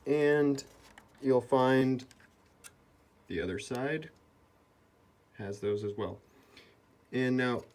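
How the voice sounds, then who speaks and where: A man's voice: mid-range voice, a little mellow. A man giving a hands-on repair tutorial, explaining things step by step. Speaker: repair video host. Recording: clearly noisy background.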